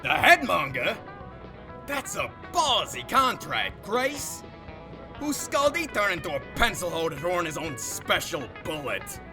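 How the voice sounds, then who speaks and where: A man's voice: high-pitched, big pitch swings, a little slow. A man acting as a folksy, wisecracking space-western barkeep with a drawling, theatrical delivery. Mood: teasing, curious. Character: folksy, wisecracking male space-saloon barkeep, showy salesman. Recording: music under the voice.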